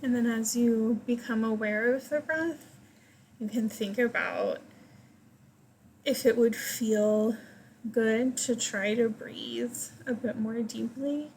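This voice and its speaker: A woman leading a guided meditation, giving gentle, invitational instructions in short phrases with pauses between them. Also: on the high side, fairly even intonation, slight background noise, low-quality recording.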